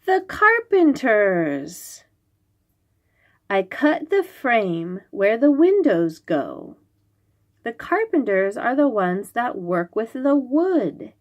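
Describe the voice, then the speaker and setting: A woman's voice: on the high side, sing-song, very slow. A woman reading a picture book aloud to young children, explaining things simply and asking questions as she goes. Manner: instructive, questioning, enunciates key words for small children.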